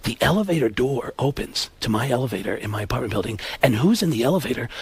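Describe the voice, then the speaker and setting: Casting: male impressionist as smooth earnest leading man, low and quiet, confiding delivery. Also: expressive, quick pace, full character voice. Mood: hushed, earnest.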